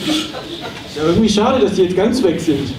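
A man playing a hapless, wistful psychotherapist in a live comedy audio play, halting and doubting himself. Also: high voice, sing-song. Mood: wistful, unsure. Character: wistful, hapless male psychotherapist losing his practice. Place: small theatre stage, indoors. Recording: noisy, echoey, distant live stage recording.

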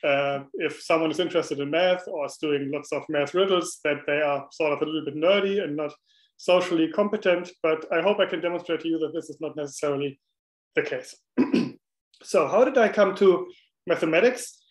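A man from Germany with a German accent, presenting a mathematics webinar talk over a video call in a relaxed, friendly way with some fillers. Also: fairly high pitch, a little fast. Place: indoor room, on a video call. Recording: roomy echo on a video call.

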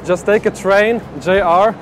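Upbeat high-voiced man vlogging. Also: fairly even intonation, unhurried, background noise. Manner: upbeat, speaks straight to the camera. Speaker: travel vlogger host. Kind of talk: casual travel vlog. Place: busy city street at night and a restaurant. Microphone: handheld camera at arm's length. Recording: music playing behind the voice.

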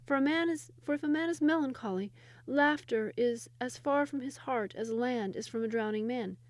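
A wry, clever young royal wife, played by a woman storyteller. She speaks in a high, measured voice, resigned yet resolute. It is a storybook figure with very dry sound. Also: dry recording, natural voice. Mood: thoughtful.

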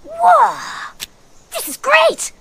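A woman voicing a small, excitable, chatty young squirrel in a dubbed cartoon, eager in manner. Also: extremely high pitch, very slow, creaky, crowd noise, full character voice. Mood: amazed. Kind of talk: English-dubbed cartoon acting.

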